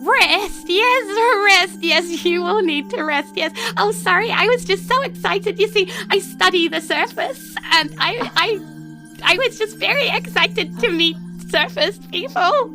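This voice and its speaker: An eager female sea creature scholar, as a woman game master plays her, speaks in a very high, delighted voice. She gushes and trips into repeats like a thrilled tabletop game character, with music clearly playing underneath. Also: lively intonation, sharp tone, exaggerated voice. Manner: gushing, halting repetitions.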